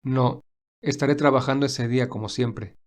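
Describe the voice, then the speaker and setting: A gentle, devoted young gentleman, played by a male actor. His voice is mid-pitched, calm and tender, suited to a romantic audio storybook. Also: moderate pace, lightly acted. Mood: tender, teasing. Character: Albert, gentle devoted wealthy young man. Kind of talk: audio storybook character dialogue.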